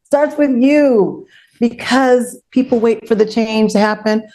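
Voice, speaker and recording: A woman's voice: on the high side, unhurried, dark tone, low-quality recording. Outspoken woman hosting a panel. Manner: outspoken, emphatic, repeats key phrases. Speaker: outspoken host of an online forum panel. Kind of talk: unscripted panel talk, personal testimony.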